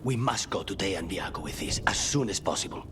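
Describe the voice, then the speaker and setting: A man's voice: sits low, animated, brisk, noisy background. A man playing a serious, urgent companion in a video game, with game ambience over the dialogue.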